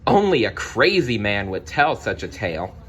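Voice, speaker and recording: A man's voice: fairly low pitch, very animated, moderate pace, fairly bright tone, slight background noise. A man voicing a scornful male village henchman in a storybook, accusing and emphatic.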